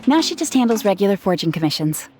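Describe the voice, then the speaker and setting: A woman's voice: medium pitch, sing-song, fast, a little mellow, lightly acted. A woman playing an earnest, kind young female gem artisan in a video game, speaking in a conversational storytelling way. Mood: solemn, hopeful. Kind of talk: video game character acting. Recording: music playing behind.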